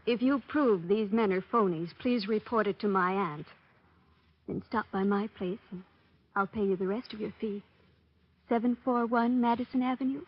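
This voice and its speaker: A woman's voice: medium pitch, moderate pace, lightly acted. An actress playing a polite, wealthy young society woman, composed and refined, in golden-age radio style. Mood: concerned, businesslike. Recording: muffled old radio recording.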